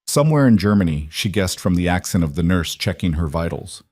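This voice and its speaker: A man narrating a scripted military drama story in a steady, measured way. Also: sits low, dry recording, studio quality.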